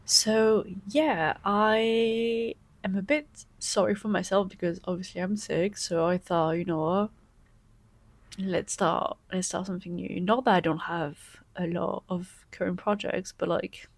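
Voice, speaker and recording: A young woman knitting vlogger, congested from a cold, chatting excitedly to camera and sometimes slipping into a soft whisper. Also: mid-range voice, a little slow. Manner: gushing and excitable, often dropping to a soft whisper. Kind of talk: casual vlog chat to camera.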